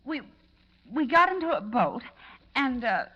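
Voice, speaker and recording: A woman acting a frightened, dazed young reporter with a halting, tremulous delivery in 1940s radio style. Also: high-pitched, big pitch swings, heavily acted. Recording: dull old radio recording.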